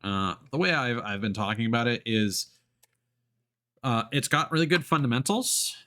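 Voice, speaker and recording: A man giving casual, explanatory livestream commentary on a video game, thinking aloud with hesitant pauses. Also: mid-range voice, animated, bright, forceful tone. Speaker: video game live streamer. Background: music behind the voice.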